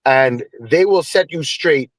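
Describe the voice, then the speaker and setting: A man from Trinidad with a Trinidadian accent, a guest telling a lively story with Caribbean phrasing in a casual interview. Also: fairly high pitch, slow pace, no echo. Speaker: guest musician.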